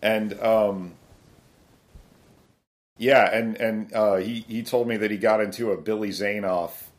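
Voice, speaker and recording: Low-pitched male co-host, chatty, restarts his sentences, laughs easily. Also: steady tone, slow pace. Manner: chatty, stammers into stories, laughs a lot. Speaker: podcast co-host. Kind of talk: casual chat.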